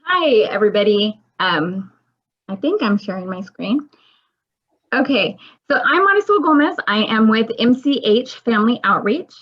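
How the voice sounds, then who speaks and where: A woman's voice: on the high side, big pitch swings, normal speed. A woman giving an informal presentation as an outreach director over a video call with dull, lo-fi sound.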